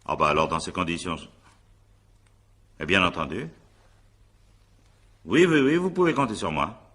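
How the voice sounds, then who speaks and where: An examining magistrate in a radio drama, with a very low, bright and forceful voice. He questions in a brisk, authoritative way, impatient and dryly sardonic, with a husky edge. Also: big pitch swings, heavily acted. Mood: deferential, businesslike. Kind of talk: French radio drama acting.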